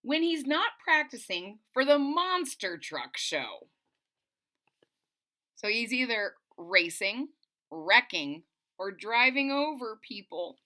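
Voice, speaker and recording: Lively, bright-voiced woman reading to children. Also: a little high, takes it slowly. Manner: expressive, explains to young listeners, dramatic emphasis. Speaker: storyteller reading a picture book aloud. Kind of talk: animated children's read-aloud with asides. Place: small indoor room at home.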